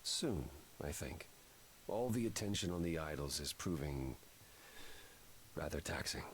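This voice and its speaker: An actor playing a weary, apologetic god in a video game, with a subdued, hesitant and polite delivery. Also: male voice, a bass voice, expressive, unhurried, slight background noise. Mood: weary, regretful. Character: weary, melancholy, apologetic god, a brooding brother.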